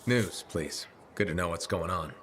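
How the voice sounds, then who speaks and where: An actor playing a dry, level-headed freelance adventurer in a video game, speaking in a casual, terse, wry way. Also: male voice, deep voice, moderate pace, a bit bright, a little hiss or hum. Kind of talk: video game character dialogue.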